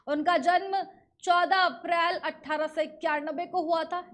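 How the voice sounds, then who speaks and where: A woman with an Indian accent presenting scripted news stories as a narrator. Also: sits high.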